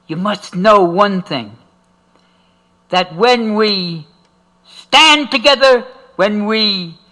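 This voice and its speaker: An older man speaks in a high, bright, forceful voice. He delivers a rousing activist speech, stretching out key phrases and repeating them in rising waves like call-and-response. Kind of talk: impassioned rally speech.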